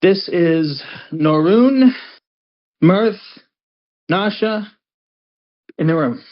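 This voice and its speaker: Woman playing a slow, self-assured adventurer. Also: lowish voice, lively intonation, highs cut off. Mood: matter-of-fact. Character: confident, laconic monster hunter adventurer. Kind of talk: acting a character in a tabletop game.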